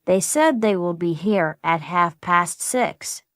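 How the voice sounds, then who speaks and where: A woman reading a friendly everyday speaker in a slow, carefully spaced practice dialogue for English learners. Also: fairly low pitch, expressive, fairly bright tone, no echo, clean studio sound. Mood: plain.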